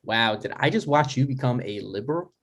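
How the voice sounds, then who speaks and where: A deadpan grown son, played by a man in a comedy table read, dry and skeptical. He talks conversationally in a mid-range voice, with small hesitations. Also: mid-range voice, fairly quick. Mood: dry, teasing.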